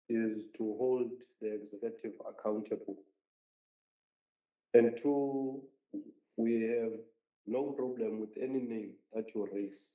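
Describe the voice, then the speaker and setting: A man speaking as a municipal councillor in a council debate, emphatic and repeating his points. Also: medium pitch. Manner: emphatic, repetitive speechmaking. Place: council chamber. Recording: roomy, dull council chamber audio.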